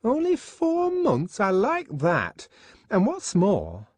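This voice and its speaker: A man voicing a prim, fussy woman who scolds her friend in a shrill, disapproving tone. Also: huge pitch swings, slow, dry recording, full character voice. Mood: scolding.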